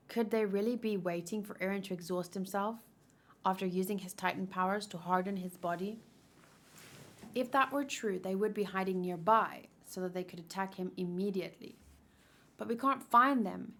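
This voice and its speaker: A woman's voice: medium pitch, lightly acted. An anxious young soldier from a manga, voiced by a woman, clever but hesitant. He sounds earnest and unsure as a leader. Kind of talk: manga read-aloud.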